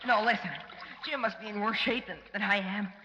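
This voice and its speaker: A man's voice: sits high, fairly even intonation, brisk, bright, forceful tone. An actor playing a plucky, wisecracking teenage boy sidekick in a 1940s radio serial, with slangy, wry delivery. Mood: desperate, despairing. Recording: echoey, muffled, noisy old radio recording.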